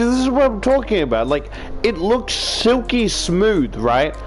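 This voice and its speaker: A man with an Australian accent doing a casual, sweary let's-play, reading visual novel text aloud and commenting as he goes. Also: sits high. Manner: sweary, informal. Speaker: video game streamer. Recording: music playing behind the voice.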